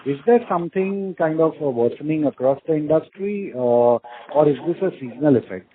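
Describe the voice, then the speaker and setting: A man asking questions as an analyst on an earnings call, pausing often mid-question. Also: on the high side, lively intonation. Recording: narrow muffled phone line.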